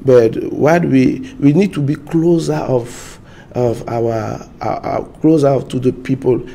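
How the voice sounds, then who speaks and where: Gravelly guy stumbling thru english answers, dark low tone. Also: fairly even intonation. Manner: halting, repeats words, searches for English. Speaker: party spokesman. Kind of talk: studio interview answers.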